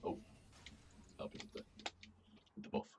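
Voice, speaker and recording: A man from Manchester, hoarse from a sore throat, chatting casually and swearing as he streams his gameplay. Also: normal speed, recorded from a distance, noisy background. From Manchester, England. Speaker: game streamer. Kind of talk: casual live gameplay commentary.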